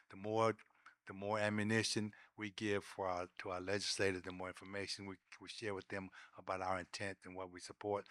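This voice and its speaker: An American man speaking as a panelist, laying out points deliberately with halting restarts. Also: deep voice, fairly bright tone, dry recording, studio quality. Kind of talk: prepared points in a panel discussion.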